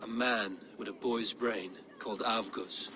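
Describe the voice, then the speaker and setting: A man playing a proud, battle-hardened Viking warrior in a game cutscene, speaking in measured, formal, poetic phrases. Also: lowish voice, steady tone, noisy background, lightly acted. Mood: wry. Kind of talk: dramatic video game cutscene acting.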